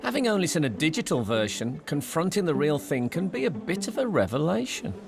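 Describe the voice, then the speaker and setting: Lively male voice-over narrator. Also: fairly high pitch, brisk, creaky. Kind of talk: scripted narration. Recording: voice-over with music behind.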